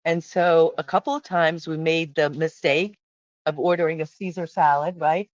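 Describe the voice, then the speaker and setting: A woman's voice: low-pitched, fairly even intonation, normal speed, muffled recording. A woman hosting a travel vlog, talking casually and emphatically, often throwing out questions as she explains. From born in Hungary. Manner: chatty, emphatic, asks questions.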